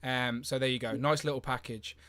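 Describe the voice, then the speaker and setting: Hoarse, bright-voiced stream host. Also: male voice, medium pitch, steady tone, fairly quick, dry recording. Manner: rambling, lots of fillers and restarts. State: hoarse, losing his voice. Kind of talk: casual live stream chat.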